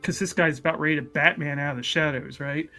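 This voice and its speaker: A man with a high, bright voice plays a tabletop roleplaying game. He talks casually and jokily, narrating what his character does, with faint music underneath. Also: fast. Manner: animated, jokey, narrates character in third person.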